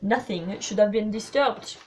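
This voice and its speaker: A woman voicing a shrewd, polite elderly male detective with a French accent and formal, deliberate phrasing, in a story reading. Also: mid-range voice, a little mellow, close to an everyday voice, low-quality recording. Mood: reproachful, probing.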